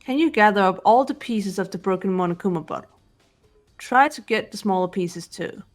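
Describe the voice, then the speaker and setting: A woman playing a cool, analytical teenage girl detective in a game voice-over, speaking in a measured, serious way. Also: medium pitch, lightly acted. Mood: businesslike. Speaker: amateur voice actor. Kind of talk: fan voice-over of a visual novel game. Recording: game music under the voice.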